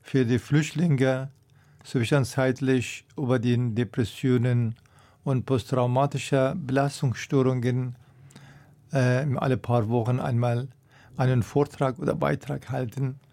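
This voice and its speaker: A man's voice: mid-range voice, flat delivery, measured pace, warm tone. A middle-aged man with a Persian accent, a doctor answering radio interview questions hesitantly, searching for his words. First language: Persian (Dari).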